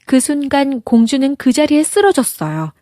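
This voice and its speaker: A woman narrating a children's picture book as an expressive storyteller. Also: high voice, normal speed, dry recording, studio quality. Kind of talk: children's fairy tale narration.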